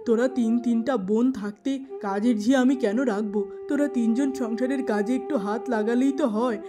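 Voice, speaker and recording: A woman's voice: a little high, moderate pace, music in the background, close to an everyday voice. A woman voicing a poor, weary middle-aged father in a cartoon moral tale, pleading and plaintive. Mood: reproachful.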